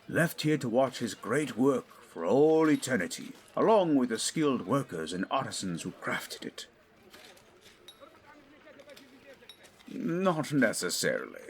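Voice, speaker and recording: A man acts out a middle-aged English archaeologist of the 1920s in an audio drama. He is formal and professorial, choosing words with deliberation. His medium-pitched voice rises and falls widely with scholarly eagerness. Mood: fervent, proud. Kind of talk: scripted audio drama acting.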